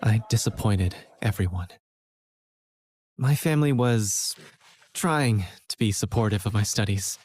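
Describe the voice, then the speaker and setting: An actor playing an earnest, self-doubting young college student who narrates his own story in a scripted animated game film. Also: lowish voice, measured pace, long pauses, no echo, studio quality. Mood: reflective, downcast. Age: young adult. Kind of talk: scripted first-person narration.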